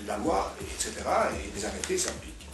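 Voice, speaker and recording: A man's voice: fairly low pitch, very animated, brisk, background noise. A man speaking off the cuff as an expert in a debate, setting out his points didactically and repeating words for emphasis. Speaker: invited expert in a political debate. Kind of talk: spontaneous argued commentary in a round-table debate. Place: roomy cafeteria, indoors. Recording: echoey room, distant mic, music behind.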